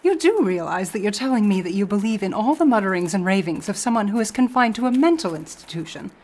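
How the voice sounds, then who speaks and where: A woman's voice: mid-range voice, expressive, fast talker, a little mellow, lightly acted. A woman playing a scornful, rational skeptic in a radio drama, arguing in a haughty, pompous manner. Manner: haughty, crisp, argumentative.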